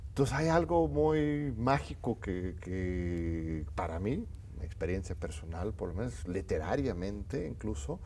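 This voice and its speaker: Middle-aged male TV host, native English speaker, low and mellow, reflective and hesitant. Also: big pitch swings, measured pace, a little hiss or hum. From United States. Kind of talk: conversational interview. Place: television studio.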